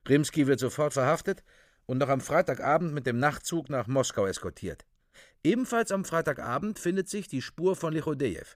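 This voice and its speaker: A male narrator delivers scripted radio drama in a report-like style. His mid-pitched voice moves in a lively, animated way, recorded dry and close. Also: fairly quick, no echo.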